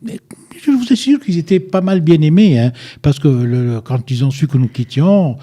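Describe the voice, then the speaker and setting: Older man with a very dark voice, hesitant. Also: on the high side, animated, moderate pace. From Egypt. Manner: hesitant, repeats words while searching, firm and insistent. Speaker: guest. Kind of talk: radio interview. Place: radio studio. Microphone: studio microphone, at times too far away.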